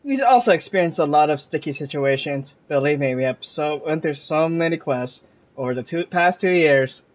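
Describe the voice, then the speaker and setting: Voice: mid-range voice, animated, moderate pace. A game streamer chatting casually and musing aloud while playing. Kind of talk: casual live gameplay commentary.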